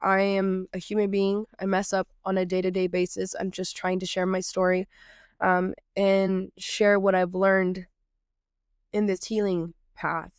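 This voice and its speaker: A woman getting over a cold, so her voice comes out nasal, speaking in a smooth, clear tone with a dry, close sound. She is from Texas and hosts her own podcast. She talks earnestly and reflectively, half-improvising with plenty of "um"s. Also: medium pitch, little pitch movement, measured pace, no room sound. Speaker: podcast host.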